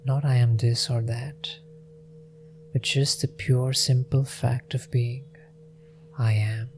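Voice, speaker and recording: A man leading a guided meditation in a gentle, unhurried manner with long pauses. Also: mid-range voice, level tone, slight background noise.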